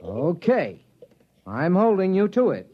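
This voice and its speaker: A man's voice: high-pitched, huge pitch swings, slow pace, full character voice. An actor playing an anxious father and time-travel experimenter in 1950s radio drama style, with an American accent. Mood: gently stern. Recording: vintage radio broadcast, dull, music underneath.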